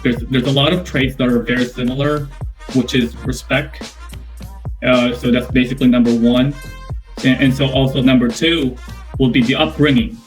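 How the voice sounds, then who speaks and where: Filipino man, measured and repetitive. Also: mid-range voice, steady tone, soft background music. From Philippines. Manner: measured, repetitive, leans on "basically" and restarts. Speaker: interviewee. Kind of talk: casual interview answers.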